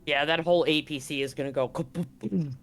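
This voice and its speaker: A man with a slightly high voice runs a tabletop roleplaying game as game master. He talks casually, laying out the scene for his players, with faint music playing underneath. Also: steady tone, fairly quick. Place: live online game stream.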